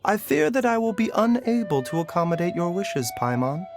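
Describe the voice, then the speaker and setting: A man playing a calm, scholarly male healer in a video game, speaking with measured, formal courtesy. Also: high-pitched, music in the background. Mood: gentle, regretful.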